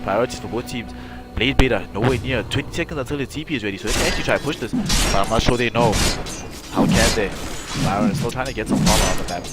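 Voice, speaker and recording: A man calls a live esports match in a middle-pitched voice gone stuffy and nasal from a cold. His analysis rambles and corrects itself as he goes. Loud music plays behind him. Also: quick pace. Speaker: game caster. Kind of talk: live esports play-by-play commentary.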